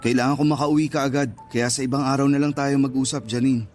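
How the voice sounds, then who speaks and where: An actor playing a hurt, insistent young boyfriend who presses with frustrated questions in a radio drama. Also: male voice, medium pitch, fairly even intonation, fast talker, darkish tone, faint music behind, natural voice. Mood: hurt, curt. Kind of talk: Tagalog radio drama acting.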